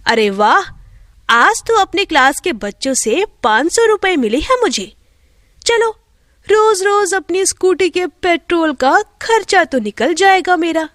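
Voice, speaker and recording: A woman's voice: very high voice, expressive, a little slow, a bit bright, dry recording, studio quality, full character voice. A woman voicing a cruel, greedy schoolteacher in a storybook tale, bossy and threatening in her delivery. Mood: gloating.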